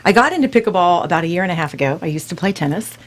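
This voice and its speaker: A woman's voice: lowish voice, animated, very fast. A woman from Florida, a real estate broker, explaining her company as a radio guest in a composed, businesslike way. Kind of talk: radio interview.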